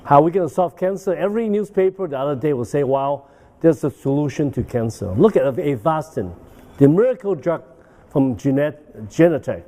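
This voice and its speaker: Older guy giving a conference talk, lots of uh and okay?, warm relaxed voice. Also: on the high side, moderate pace. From Hong Kong. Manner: rambling, with frequent "uh" and "okay?" tags. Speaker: guest lecturer. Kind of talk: medical conference lecture. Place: banquet hall.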